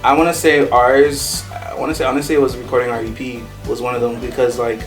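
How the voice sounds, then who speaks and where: A man's voice: medium pitch, little pitch movement, fast. A man in his twenties, a band member, chatting in a rambling way with lots of fillers. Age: 20s. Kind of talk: casual chat. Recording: distant mic with background music.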